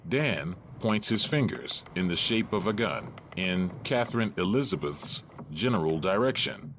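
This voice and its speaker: A man narrating a play, reading stage directions in a measured, matter-of-fact way. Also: sits low, animated, noisy background.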